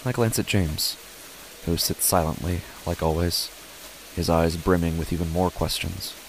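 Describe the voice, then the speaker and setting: A man plays a father narrating a first-person horror tale. His voice is very low and he speaks in deliberate, short phrases. A faint hiss lies behind the words. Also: fairly even intonation, poor audio. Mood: sombre, tense. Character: father narrating his family's uneasy stormy night.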